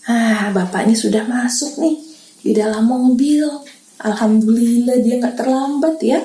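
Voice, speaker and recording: A woman reads a picture book aloud for small children, gentle and teacherly, pausing to quiz her young listener. Her voice is high but mellow, and she reads slowly. The room around her adds a clear echo. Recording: roomy echo.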